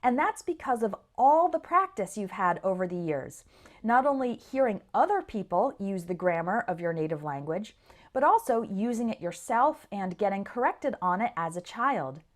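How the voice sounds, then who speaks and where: A woman English teacher giving an instructional lesson, explaining things clearly and speaking directly to learners. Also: medium pitch, sing-song, normal speed. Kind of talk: instructional lesson to camera or listeners.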